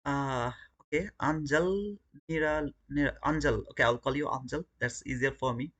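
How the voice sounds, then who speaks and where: A man's voice: on the high side, normal speed, a bit bright. A man from Bangladesh with a Bangladeshi English accent, hosting a live trading stream and talking casually to his viewers, often repeating words. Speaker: trading livestream host. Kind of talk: live trading commentary and chat.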